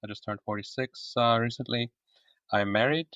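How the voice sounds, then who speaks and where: A man in his forties, originally from Egypt, talking openly as a podcast guest, relaxed with frequent fillers. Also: mid-range voice, moderate pace, dry recording, highs cut off. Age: 40s. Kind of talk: casual conversation.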